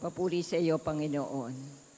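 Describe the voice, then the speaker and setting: A person leading liturgical prayers in a steady, solemn way. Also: medium pitch, measured pace, big-room sound. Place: church.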